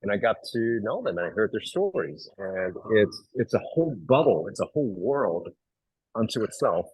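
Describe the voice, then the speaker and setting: A middle-aged man from Hong Kong talks in a low, husky voice. He tells stories loosely, with fillers and restarts. The sound is close and dry. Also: moderate pace, no room sound, poor audio. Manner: animated storyteller, many fillers and restarts. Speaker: actor and writer, guest. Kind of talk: casual interview.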